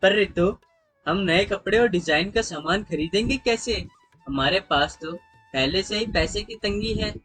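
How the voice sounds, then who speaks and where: A performer voicing a poor, humble village seamstress mother, gentle and earnest, in a moral story. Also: medium pitch, measured pace, slightly rough, soft background music. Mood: worried.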